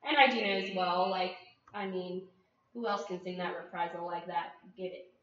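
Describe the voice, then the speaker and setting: A woman co-hosting a podcast, chatting animatedly in a high-pitched voice. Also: moderate pace. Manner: animated, bursts into song snippets. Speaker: podcast co-host. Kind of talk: casual chat. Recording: echoey, far from mic, dull lo-fi, faint hiss.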